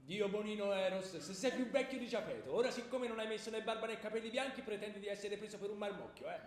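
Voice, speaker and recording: A man's voice: high voice, fast, close to an everyday voice. An actor playing a vain, aggrieved king of the gods, complaining querulously in comic theatre. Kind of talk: comic stage radio theatre. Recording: echoey hall, long reverb.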